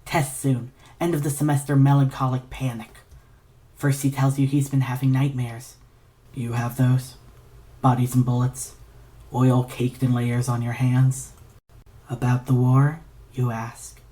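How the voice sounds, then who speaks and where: A woman reading literary fiction as a dramatic narrator, intense and deliberate in delivery. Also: rumbling low, little pitch movement, dark tone. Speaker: audiobook-style reader of fan fiction.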